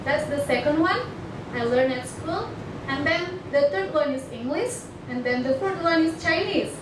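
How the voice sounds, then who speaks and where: A young Indonesian woman with a high voice gives a talk in halting English, often stopping to find her words. Batak is her first language. She is picked up from far off in an echoing lecture hall with clear background noise. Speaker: international student speaker. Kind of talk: personal presentation to an audience. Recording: echoey hall, far mic, noisy.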